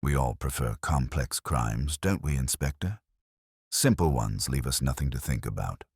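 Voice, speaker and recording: Man playing a guarded clergyman, detective audio story, very deep voice, wry, formal, measured, dry sound. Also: lively intonation, slightly rough, no room sound, exaggerated voice. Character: guarded, worldly-wise male clergyman suspect in a detective story.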